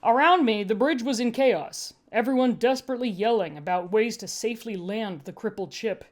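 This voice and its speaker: A woman's voice: a little high, moderate pace. A woman narrating a first-person story as a podfic reader, with a dry, wry delivery.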